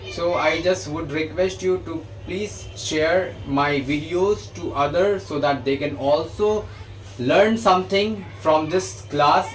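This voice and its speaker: An English teacher with an Indian English accent explains grammar, often stammering and restarting his sentences. His voice sits a little high, and he sounds set back from the mic. Steady background noise runs under the recording. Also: far from the mic, poor audio. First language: Hindi. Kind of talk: bilingual grammar lesson.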